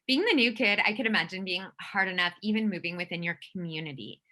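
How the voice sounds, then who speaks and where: A woman's voice: lowish voice, expressive, quick pace, crowd noise. A woman hosting a podcast interview, prompting her guest with encouraging questions. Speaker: podcast host. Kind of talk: interview host chat.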